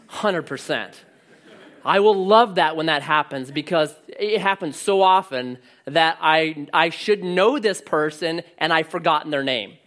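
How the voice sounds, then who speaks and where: A man preaching a sermon with a loud voice that carries, telling stories and cracking jokes. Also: a little high, unhurried. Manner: animated storytelling with jokes. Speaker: pastor.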